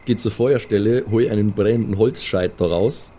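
A man's voice: fairly low pitch, normal speed, mellow. A man playing a tabletop role-playing game, talking casually and hesitantly, often repeating himself. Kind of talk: casual improvised game talk.

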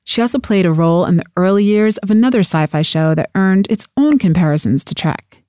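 A woman's voice: medium pitch, lively intonation, fairly quick, warm tone, smooth, no room sound, clean studio sound. A woman reading scripted documentary narration as the narrator.

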